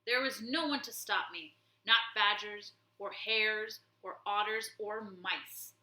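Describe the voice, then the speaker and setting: A cruel rat warlord from a storybook, acted out by a woman, boastful and declamatory. The delivery is slow and forceful, with a roomy sound around it. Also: mid-range voice. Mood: furious. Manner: declamatory, shouted threats.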